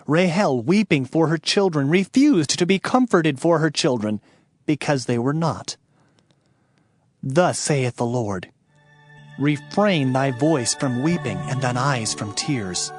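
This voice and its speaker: Guy narrating the bible dramatically, solemn, voice goes up n down a lot, music clearly underneath. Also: medium pitch, lively intonation, takes it slowly. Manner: solemn, declamatory. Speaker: scripture narrator. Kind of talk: dramatic scripted Bible reading.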